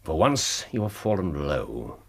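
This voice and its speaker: A man playing a brilliant, courteous English gentleman detective in a radio drama, precise, theatrical and deliberate, with a husky voice. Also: rumbling low, very animated, full character voice. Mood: gracious, solemn. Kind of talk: British radio drama acting.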